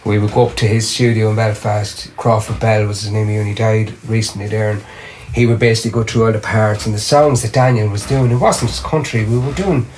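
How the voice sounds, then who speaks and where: A man's voice: on the low side, fairly quick, few pauses, a little hiss or hum. Rambling middle-aged Irish man. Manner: rambling, restarts often, animated storytelling. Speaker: singer and drummer, podcast guest. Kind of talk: casual interview chat.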